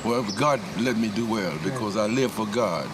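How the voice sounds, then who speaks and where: A man's voice: on the low side, normal speed. A man of seventy-nine talking to a stranger on the street, boastful and jokey, calling himself a prophet. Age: 70s. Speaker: passerby. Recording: loud street and traffic noise.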